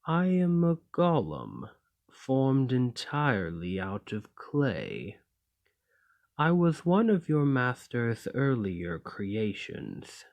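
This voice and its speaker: A man playing an imprisoned clay golem in an ASMR roleplay, polite and learned, speaking in formal, deliberate phrases. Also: medium pitch, wildly swooping pitch, a little mellow, heavily acted. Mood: somber, reserved. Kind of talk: scripted ASMR roleplay acting.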